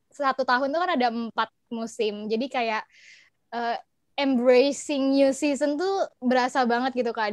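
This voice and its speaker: A young woman with an Indonesian accent chatting casually as an interview guest, expressive and giggly, mixing in English and German words. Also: a little high, dry recording, clean studio sound. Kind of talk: casual chat.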